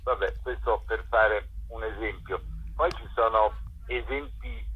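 A man giving a measured phone interview as a festival curator, deliberate and pausing between phrases. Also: fairly low pitch, husky. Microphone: telephone. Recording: dull, muffled telephone line.

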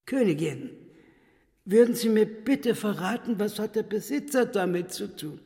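A man voicing an impertinent talking tomcat demon in a radio play, creaky and mock-polite as he lectures. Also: sits high, lively intonation, moderate pace, mellow. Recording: long hall reverb.

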